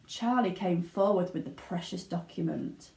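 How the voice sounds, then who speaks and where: A woman giving an expressive narration of a children's storybook. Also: lowish voice, normal speed, gravelly. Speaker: teaching assistant reading aloud. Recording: roomy indoor echo.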